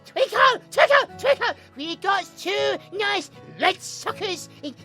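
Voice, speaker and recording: An odd little old man greeting cafe guests, extremely high and squeaky. He stammers and repeats himself with childish glee, a cartoonish audio drama character over music. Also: lively intonation, slow, bright, forceful tone, full character voice. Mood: giddy, welcoming. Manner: stammering, repeating words, giddy.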